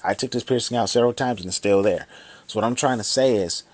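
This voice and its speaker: A man's voice: fairly low pitch, fast, dry recording. A man in his thirties ranting angrily to the camera in a vlog, swearing a lot and repeating himself. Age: 30s. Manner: angry, profane, repetitive ranting. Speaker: vlogger.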